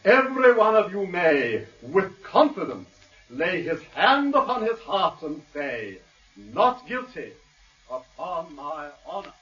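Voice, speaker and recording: An actor playing an eloquent defence barrister, declaiming a grandiloquent, theatrical courtroom oration in a radio drama. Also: male voice, high voice, very animated, takes it slowly, fairly bright tone, full character voice. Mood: solemn, persuasive. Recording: muffled, distant radio drama recording.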